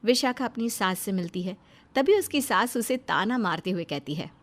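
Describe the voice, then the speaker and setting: A woman's voice: mid-range voice, lively intonation, moderate pace. A woman narrating a scripted moral story as a storyteller.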